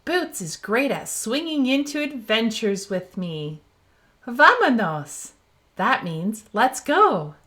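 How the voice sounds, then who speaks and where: Woman playing a young girl explorer, cheerful, encouraging, swooping pitch, slow storybook delivery, asks questions. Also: big pitch swings, a bit bright, exaggerated voice. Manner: asks the listener questions, then praises the answer. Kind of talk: storybook read-aloud for young children.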